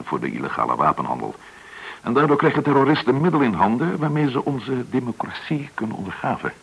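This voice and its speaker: An actor in a radio play as a courteous, probing government agent, explaining things with some hesitation. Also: male voice, deep voice, vocal fry, a bit bright, slight background noise, full character voice, low-quality recording. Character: polite, probing male government agent recruiting an informant.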